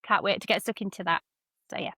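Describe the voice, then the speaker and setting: A mid-pitched woman with a dry, close-miked sound. She chats eagerly and giggles between her sentences as she talks with her co-host. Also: fairly even intonation, quick pace, pushed and bright, no echo. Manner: enthusiastic, giggly. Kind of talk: casual chat.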